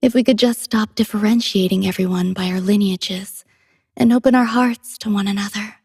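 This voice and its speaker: A woman playing a gentle, poetic female android companion in a game, soft-spoken and wistful with a breathy voice. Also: mid-range voice, steady tone, normal speed, no room sound, clean studio sound. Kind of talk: scripted game character voice lines.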